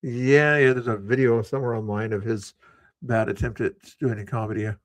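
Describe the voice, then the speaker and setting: A man's voice: medium pitch, steady tone, brisk, dry recording. A man hosting a live stream, chatting in a laid-back way and speaking to his viewers. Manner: laid-back, talks to the chat. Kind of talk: casual live-stream chat. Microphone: streaming microphone.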